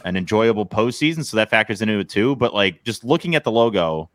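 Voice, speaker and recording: A man's voice: lowish voice, expressive, fast, no room sound. An American man in his thirties talking casually and with opinions, like a sports podcast co-host. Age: 30s.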